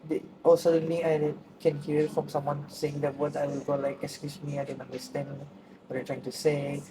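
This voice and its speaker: A man's voice: a little high, completely flat delivery, moderate pace, background noise. A young man from Malaysia whose first language is Tamil, answering interview questions about the languages he speaks with many fillers and restarts. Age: young adult. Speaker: interviewee, student.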